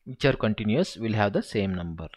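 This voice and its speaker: A man with a lowish voice talks through a coding problem while he types. He thinks aloud and counts each step out slowly. It sounds like a desktop mic picking up a screen recording. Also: animated. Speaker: SQL tutor.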